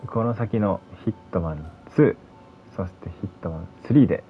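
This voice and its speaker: Male video host, quick pace, casual commentary, muffled lo-fi track, soft music behind. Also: sits low.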